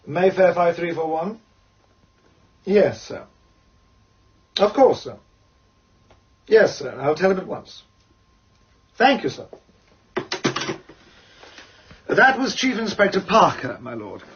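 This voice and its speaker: An actor playing a formal, unflappable English manservant, deferential and ornate in speech, in a radio drama. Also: male voice, high voice, sing-song, slow pace, long pauses, lo-fi sound, noisy background, heavily acted. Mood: formal, efficient.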